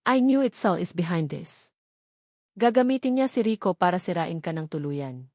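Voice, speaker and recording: A woman's voice: on the low side, lively intonation, moderate pace, a little mellow, no room sound, clean studio sound. A woman voicing a serious, analytical female aide in a narrated love story, sounding concerned and businesslike. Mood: grave.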